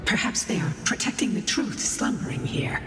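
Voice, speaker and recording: Age-old female guardian spirit for a game, actress, very low, composed and formal, heavily echoing over music. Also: expressive, natural voice. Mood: solemn. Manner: formal, measured. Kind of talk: scripted video game voice acting. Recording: very echoey distant hall with music.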